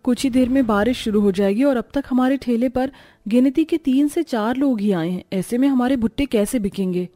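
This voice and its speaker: A woman voicing a poor young married woman in a cartoon story, gentle, concerned and practical. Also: a little high, a little fast, faint music behind, close to an everyday voice. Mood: worried. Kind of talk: cartoon story character dialogue.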